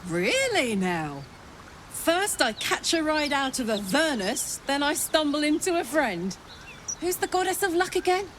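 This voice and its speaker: A woman's voice: high-pitched, big pitch swings, measured pace, a bit bright, slight background noise, heavily acted. A woman playing a brash, battle-hardened warrior who deserted a devil army in a video game, blunt, slangy, sweary and cocky. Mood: relieved, friendly. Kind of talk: video game character acting.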